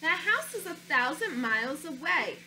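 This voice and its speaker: A woman teacher reading a storybook aloud to young children, gently asking them questions between pages. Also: high voice, big pitch swings, moderate pace, slight background noise. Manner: gentle, asks children questions, sings a short song.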